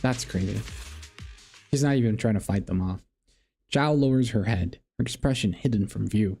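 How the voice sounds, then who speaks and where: A man's voice: on the low side, moderate pace, vocal fry, a little mellow, slightly rough. A man giving casual game playthrough commentary as a streamer, sometimes reading the story text aloud. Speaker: gaming streamer. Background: faint game music.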